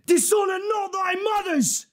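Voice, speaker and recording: A man's voice: very high-pitched, unhurried. A man acting a fierce warrior king rousing his troops in a Shakespearean stage monologue.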